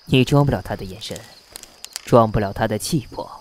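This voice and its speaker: A man acting in a costume audio drama as a composed, shrewd prince, speaking with measured, deliberate pauses and cold disdain. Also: on the low side, a little hiss or hum, natural voice. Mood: solemn, disdainful. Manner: measured, deliberate, pausing between phrases.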